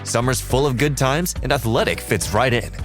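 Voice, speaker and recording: A man's voice: medium pitch, moderate pace, fairly bright tone, soft background music. An American man reading a scripted commercial as a voice-over. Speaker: advertising voice-over.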